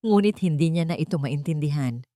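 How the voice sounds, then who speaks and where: A woman's voice: sits low, animated, brisk, mellow, no echo, studio quality. A woman narrating a scripted dramatic story.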